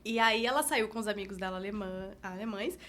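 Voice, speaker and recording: A woman co-hosting a podcast, telling lively anecdotes in casual chat. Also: mid-range voice, fairly quick. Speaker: podcast co-host.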